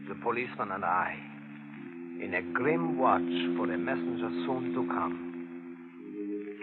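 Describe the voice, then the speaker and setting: A man playing an anxious, evasive waiter with a halting, formal, brooding manner in a vintage radio thriller. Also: lowish voice, noisy background, natural voice. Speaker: actor. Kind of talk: 1940s radio thriller acting. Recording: tinny vintage radio recording, music behind.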